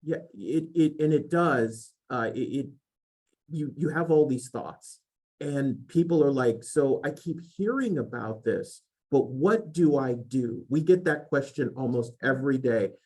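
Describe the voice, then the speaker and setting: A man hosting an interview, asking his question haltingly with many restarts. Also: medium pitch, lively intonation, darkish tone. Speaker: host.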